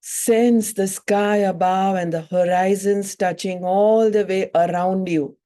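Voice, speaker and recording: Female meditation and breathwork guide: relaxed warm tone, unhurried delivery, soothing, spacious pauses. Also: mid-range voice. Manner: soothing, measured phrases with long pauses. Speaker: yoga and breathwork teacher.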